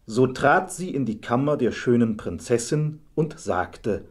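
A man narrating a scripted fairy tale as a measured storyteller. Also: medium pitch, animated, husky.

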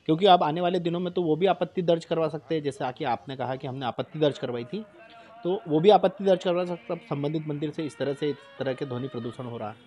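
A man's voice: a little high, fast, dark tone, faint music behind. A man asking interview questions as a television news reporter, recorded indoors. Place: small furnished room indoors. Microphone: handheld microphone pointed toward the interviewee.

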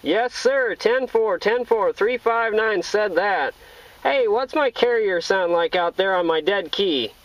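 A man's voice: high-pitched, lively intonation, measured pace, a bit bright. Man, CB slang, repeated hailing, dull noisy in-car recording. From Iowa, United States. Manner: repetitive calling out, hailing. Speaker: CB radio operator. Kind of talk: casual CB radio chat in radio slang. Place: inside a vehicle.

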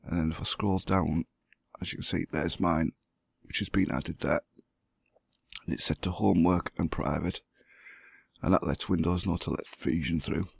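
Sick hoarse guy doing a pc tutorial, raspy deep voice, calm step by step. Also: moderate pace, no echo. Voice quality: gravelly, husky. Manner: plain step-by-step explaining. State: hoarse from flu, losing voice. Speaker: YouTube gaming channel host. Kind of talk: screen-recorded tutorial.

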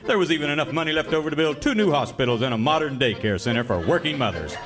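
A man's voice: a little high, expressive, racing. A man narrating as announcer in a melodramatic parody of an old radio serial. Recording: live stage, loud music and crowd.

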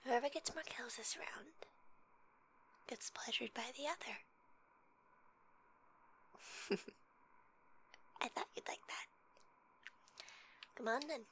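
Woman acting as flirty gamer gf, slow bright higher voice, asmr roleplay, teasing n competitive. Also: slightly rough. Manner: teasing, casual, often dropping into whispers.

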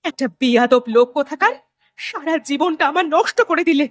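A woman's voice: sits high, lively intonation, fairly quick, dry recording, studio quality. A woman voicing an embittered, defiant village wife and mother in a cartoon, scolding as she goes. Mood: angry defiance. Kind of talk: cartoon drama voice acting.

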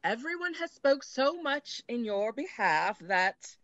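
Dry, slightly dull call audio carries a woman acting a formal gentry mother in an old comedy. She speaks slowly and with stately respectability. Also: a little high, big pitch swings, fairly bright tone, no echo, exaggerated voice. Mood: gracious, measured. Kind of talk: classical stage comedy acting. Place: indoors at home, on a video call.

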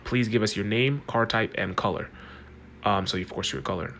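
A man's voice: fairly low pitch, gravelly, fairly bright tone, a little hiss or hum. A man running a casual walkthrough of a chatbot on his phone, talking loosely with restarts. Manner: quick, chatty, loose with restarts. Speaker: consultant demoing a chatbot. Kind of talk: casual screen-recorded walkthrough.

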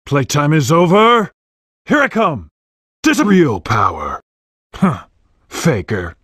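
A husky male hedgehog fighter from a video game. He taunts with menace, slowly and with lively swings in pitch. The sound is dry and close. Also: dry recording, exaggerated voice. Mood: taunting, intense. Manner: taunting, deliberate. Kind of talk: video game battle lines.

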